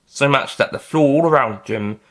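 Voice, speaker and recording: A man's voice: mid-range voice, normal speed, fairly bright tone. A man reading scripted audiobook narration as the narrator of a story.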